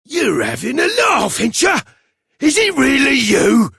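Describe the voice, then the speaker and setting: Give the game a cheeky Cockney rogue, very high and piercingly bright. He is boisterous and wheedling, with a rough, gravelly rasp and a habit of shouting. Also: wildly swooping pitch, measured pace, dry recording, studio quality, heavily acted. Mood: boisterous, delighted. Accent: English, Cockney. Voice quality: raspy, gravelly. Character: cheeky male crook claiming to have gone straight. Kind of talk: video game voice acting.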